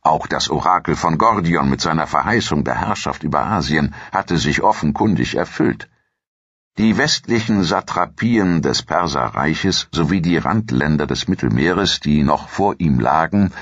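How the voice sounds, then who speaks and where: A man reading scripted history narration as a documentary narrator in a measured, formal, authoritative manner. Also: very deep voice, fairly bright tone, husky, no echo, muffled recording.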